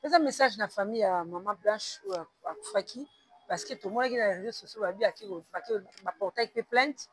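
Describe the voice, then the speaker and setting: A man's voice: high voice, fast talker, dry recording. A man hosting an interview, mixing with Lingala and giving frequent short acknowledgements. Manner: mixes French and Lingala, frequent short backchannels. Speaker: web TV host. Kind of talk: interview questions.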